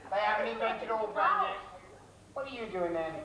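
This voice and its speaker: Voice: medium pitch, sing-song, moderate pace. An actor playing a bustling comic nurse in a stage pantomime, scolding and repeating words in flurries, recorded in a theatre. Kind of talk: broad stage pantomime comedy. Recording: roomy theatre from far off, noisy audience.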